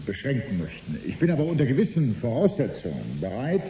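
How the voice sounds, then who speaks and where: An actor playing a formal, guarded corporate executive negotiating in a German radio drama. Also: male voice, medium pitch, wildly swooping pitch, brisk, dark tone. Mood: guarded, firm. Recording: roomy echo, faint hiss.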